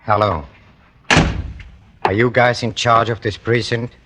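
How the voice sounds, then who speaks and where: An actor playing a smug, unhinged gunman in a 1940s radio thriller, speaking in a halting, taunting way. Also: mid-range voice, steady tone, very noisy, close to an everyday voice. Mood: cool menace.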